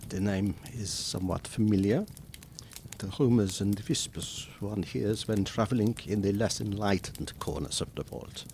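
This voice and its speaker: A man playing a grave, commanding professor and vampire hunter with a German accent, formal and deliberate, in a gothic horror drama. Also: fairly low pitch, darkish tone, a little hiss or hum, natural voice. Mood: thoughtful, probing.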